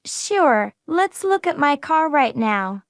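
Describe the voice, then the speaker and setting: A woman voicing a friendly everyday speaker in a beginner English lesson dialogue. Her voice sits high, and she speaks each line slowly and clearly. The recording is close and completely dry. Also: very animated, dry recording, exaggerated voice. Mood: plain. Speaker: dialogue partner in English practice. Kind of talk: slow scripted practice dialogue.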